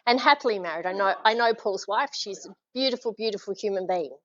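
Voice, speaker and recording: A woman with an Australian accent giving a live leadership talk, energetic and encouraging, and asking her audience questions. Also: medium pitch, brisk, dry recording, dull audio. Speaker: leadership speaker. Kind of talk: live presentation to a small audience. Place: function room with an audience.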